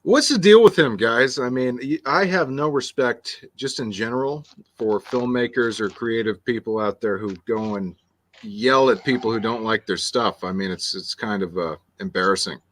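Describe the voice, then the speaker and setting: A man chatting casually on a live stream, talking in a relaxed, conversational way. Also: mid-range voice, normal speed, a little hiss or hum.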